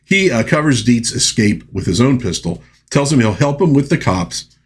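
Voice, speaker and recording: A man's voice: medium pitch, very animated, normal speed, slightly rough. A man hosting a movie review, recapping and commenting in a conversational, informal and folksy way. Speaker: film review host.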